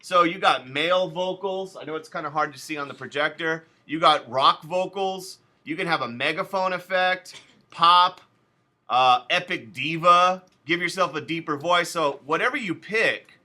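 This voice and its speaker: A man with a strikingly bright, forceful voice that sits a little high, talking a class through software. He is casual and chatty, dropping offhand asides as he clicks through each step. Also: moderate pace. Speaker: teacher.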